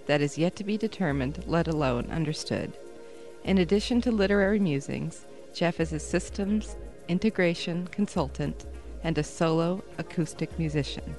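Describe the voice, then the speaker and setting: A woman's voice: deep voice, a little mellow. Woman announcer over faint music. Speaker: podcast announcer. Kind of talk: scripted introduction read.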